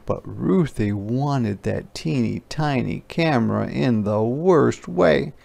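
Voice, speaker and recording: A man narrating a children's picture book aloud in a deliberate, expressive storytelling style. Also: mid-range voice, creaky.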